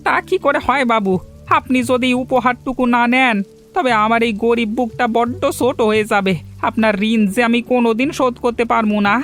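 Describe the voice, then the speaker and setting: A man's voice: very high-pitched, fairly even intonation, a little fast, fairly bright tone, faint music behind. A man voicing a poor, humble village fisherman who speaks earnestly and deferentially in a cartoon. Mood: pleading. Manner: pleading, deferential. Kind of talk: cartoon voice acting.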